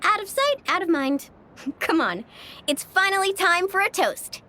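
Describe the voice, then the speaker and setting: High, upbeat party-loving young woman. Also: animated, measured pace, pushed and bright, slight background noise, exaggerated voice. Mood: cheerful. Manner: breezy, chatty. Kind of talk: animated video game voice acting.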